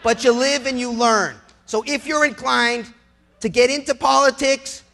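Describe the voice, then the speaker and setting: A man's voice: extremely high pitch, fairly even intonation, unhurried, vocal fry, sharp tone, a little hiss or hum. An American man giving a persuasive public speech, speaking emphatically in plain colloquial language and repeating phrases for effect.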